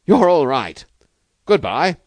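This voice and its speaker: Man as an effusive, excitable young gentleman. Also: low-pitched, measured pace, no room sound. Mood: excited, hurried. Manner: effusive, breezy. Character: excitable, good-natured young gentleman, boyishly eager.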